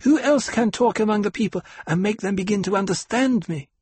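A small, solemn girl with a soft half-lisp, talking slowly and earnestly in a raised, light voice, as a man reading the story puts her on. The tone is husky and breathy, and the storybook reading sounds close and dry. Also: high voice, dry recording, voice pushed up. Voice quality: half-lisping. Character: young girl with an old soul, solemn.

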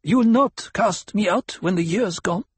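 A man's voice: sits high, unhurried, slightly rough, no echo, voice pushed up, exaggerated voice. A man voicing an anxious, proud tribeswoman who is no longer young, plaintive and emphatic, in an audiobook.

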